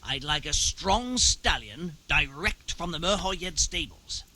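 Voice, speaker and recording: Sly, bright-voiced scheming townsman. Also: on the high side, expressive, takes it slowly, exaggerated voice, low-quality recording. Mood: pleased, scheming. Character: sly, greedy townsman who commissions thefts. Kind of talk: dramatic video game dialogue.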